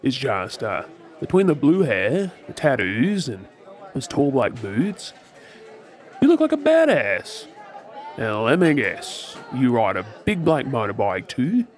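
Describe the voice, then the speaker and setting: A man's voice: mid-range voice, big pitch swings. Man acting a swooping, tipsy Australian flirt. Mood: cocky, teasing. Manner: teasing, drawling, halting with hesitations. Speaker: audio roleplay performer. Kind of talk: ASMR audio roleplay, flirty monologue to the listener. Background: faint bar crowd chatter.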